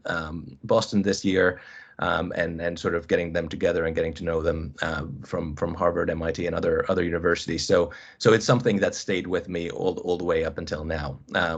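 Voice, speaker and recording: A man, giving a webinar presentation as a guest coach, hesitant and often restarting his phrases. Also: low voice. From Finland. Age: 30s. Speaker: college squash coach, guest speaker. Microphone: video call. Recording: dull lo-fi video call audio.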